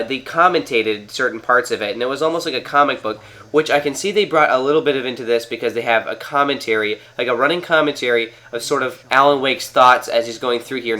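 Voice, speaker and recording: A man's voice: medium pitch, sharp tone. A man from Canada with a cold, sounding nasal as he talks through a video game in a rambling, casual way with many fillers. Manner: rambling, lots of fillers and restarts. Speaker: gaming video host. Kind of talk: casual gameplay commentary. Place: at home, playing on a TV.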